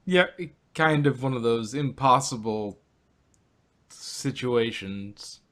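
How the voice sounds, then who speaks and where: A man's voice: mid-range voice, takes it slowly, a bit bright. A man casually chatting as a podcast co-host, hedging and hesitant, with many fillers. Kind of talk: casual chat.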